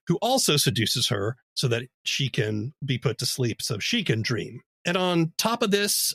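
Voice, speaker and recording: A man hosting an interview podcast, summarizing a story in a clear, measured way. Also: a little high, a bit bright, dry recording. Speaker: podcast host.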